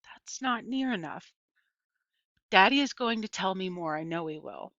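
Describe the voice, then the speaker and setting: A woman's voice: mid-range voice, lively intonation, normal speed, a bit bright, no echo. A woman voicing an earnest, tender and plucky young girl in a storybook, with plain folksy phrasing. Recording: dull, lo-fi, dry close recording.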